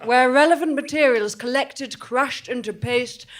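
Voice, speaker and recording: An actor playing the grandiose boss of a sinister secret factory, formal and calmly menacing in a live comedic audio drama. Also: sits high, moderate pace, lightly acted. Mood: gloating.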